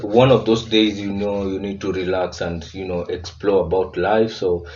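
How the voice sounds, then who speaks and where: A man with a Kenyan accent hosting a reaction video in casual commentary, relaxed and searching for words with emphatic bursts. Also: fairly low pitch. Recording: distant muffled mic with faint hiss.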